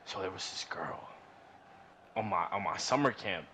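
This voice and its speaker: A man rambles through a casual, profane story, slangy and animated, often repeating himself. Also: low-pitched, normal speed. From New York. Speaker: podcast storyteller. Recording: noisy room recording.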